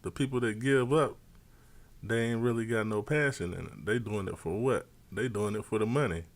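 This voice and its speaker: A man's voice: lowish voice, moderate pace. A man hosting a casual sports talk show, brash and boastful, swearing freely as he talks.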